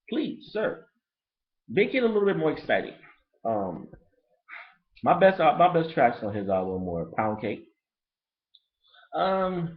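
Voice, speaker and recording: A man with a Haitian accent who grew up in Brooklyn, ranting bluntly and profanely in a casual, rambling album review. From Brooklyn, New York. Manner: profane, blunt and rambling, lively pitch swings. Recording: lo-fi dull recording.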